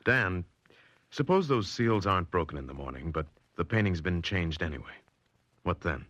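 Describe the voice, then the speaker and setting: A jittery, lively-voiced painter. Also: male voice, low-pitched, normal speed, no echo, highs cut off. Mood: worried. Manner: anxious questions, nervous quips. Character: jittery, quipping male painter, adult. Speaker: painter, the detective's client. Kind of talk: 1940s radio drama acting.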